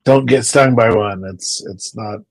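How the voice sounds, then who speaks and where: A man's voice: on the low side, a little slow, gravelly, no echo. A man chatting on a video call, rambling into stories and repeating words as he goes. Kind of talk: casual chat.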